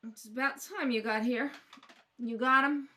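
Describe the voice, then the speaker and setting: A woman's voice: high voice, steady tone, unhurried, sharp tone. A woman playing a scornful, threatening mother with slangy speech in a radio crime drama. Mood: angry, scornful. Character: belligerent, slovenly, hard-bitten mother, scornful of her children.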